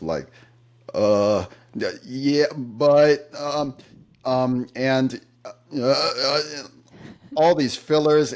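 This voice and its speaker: A man being interviewed about his work as an interpreter, telling anecdotes in a thoughtful, conversational way with some fillers. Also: lowish voice. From United States. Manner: thoughtful storytelling, occasional fillers.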